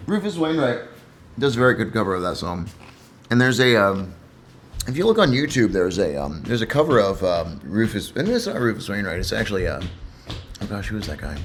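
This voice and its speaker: A man's voice: on the low side, sing-song, brisk, a little hiss or hum. A man who reads and comments on poetry in a casual, reflective way, somewhat hesitant and repeating himself.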